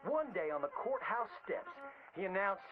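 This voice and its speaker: Game role: boastful old-West Southern showman-storyteller, high theatrical drawl, loud music behind. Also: big pitch swings, moderate pace, narrow, tinny audio. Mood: folksy, boastful. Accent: American Southern, old frontier drawl. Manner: hammy, folksy, exaggerated storyteller delivery. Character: folksy theatrical frontier showman spinning boastful tall tales. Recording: band-limited old-radio sound with prominent music.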